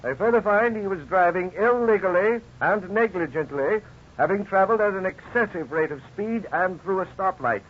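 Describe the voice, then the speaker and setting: An actor playing a stern judge, formal and measured, in a 1950s radio drama. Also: male voice, high-pitched, animated. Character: stern, formal male judge delivering a ruling. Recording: dull 1950s radio recording.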